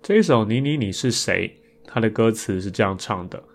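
Male podcast host, low voice, Taiwanese Mandarin accent, conversational and reflective solo talk. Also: expressive, brisk. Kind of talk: casual podcast monologue about improvised songs.

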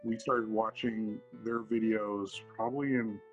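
Canadian guy guesting on a podcast, halting casual talk, kinda muffled lofi sound, faint guitar. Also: fairly low pitch, little pitch movement. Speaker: podcast guest, video blogger. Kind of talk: casual interview chat. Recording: dull lo-fi audio, faint guitar music.

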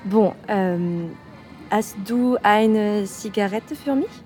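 Mellow young French woman, played by an actress. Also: medium pitch, slow pace, faint music behind, close to an everyday voice. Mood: friendly, curious. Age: young adult. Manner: casual, hesitant, searching for German words. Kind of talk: intimate audio drama acting.

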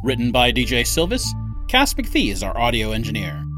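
Male announcer, scripted credits read, animated delivery, medium pitch, guitar music behind. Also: moderate pace.

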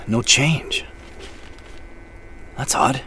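A man's voice: medium pitch, drawn-out words, pushed and bright, a little hiss or hum. An actor playing an earnest, puzzled man in an audio drama, hesitant and thinking aloud in natural dialogue. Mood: puzzled, frustrated. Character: earnest, puzzled man searching woods at night for treasure.

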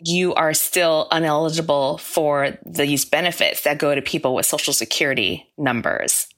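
Low-voiced woman reporter explaining. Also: a bit bright. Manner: explanatory, measured. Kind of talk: interview answers.

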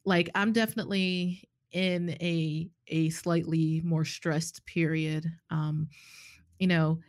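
Chatty woman in her forties. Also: lowish voice. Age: 40s. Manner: chatty, quick to laugh, animated. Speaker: clinician. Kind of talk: casual chat.

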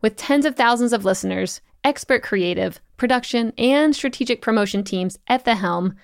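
A woman's voice: on the high side, normal speed, creaky, no room sound. A woman hosting a business interview podcast, reading her intro and ad in an upbeat, polished way on a close studio mic. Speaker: podcast host.

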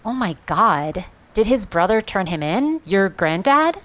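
A man's voice: high voice, sing-song, measured pace, sharp tone, pitched above the performer's own voice, exaggerated voice. A man voicing a young woman reacting to a story with shaky, earnest questions. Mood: shaken. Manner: tremulous, earnest. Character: young woman, shocked, sympathetic listener.

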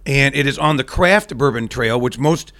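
A man's voice: mid-range voice, normal speed, fairly bright tone. A man chatting casually as a podcast guest, explaining things in a matter-of-fact way. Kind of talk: casual chat, explaining bourbon.

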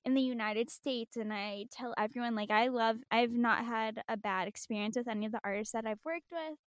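High-voiced young woman, giggly podcast chat. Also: brisk, no room sound, clean studio sound. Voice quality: high-pitched young-sounding voice. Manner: chatty, giggly, self-deprecating. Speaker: podcast guest host.

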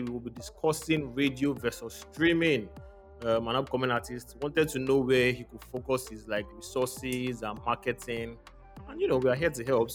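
A man hosts a podcast in loose, chatty talk and laughs easily. His voice sits at an ordinary pitch. Faint music plays under him. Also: a bit bright. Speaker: podcast host. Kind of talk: casual podcast chat.

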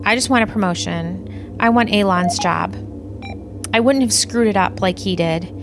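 A coolly ambitious alien officer, played by a woman, in an audio drama with music behind her. She is middling in pitch and officious, laying things out in a dry, practical tone. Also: moderate pace, lightly acted. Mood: coolly scheming. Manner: matter-of-fact, dry, officious. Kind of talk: audio play acting.

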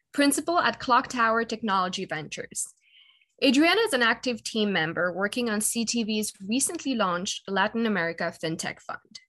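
A woman's voice: mid-range voice, a bit bright. Young woman student host, reads a scripted intro on a podcast. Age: young adult. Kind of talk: podcast interview.

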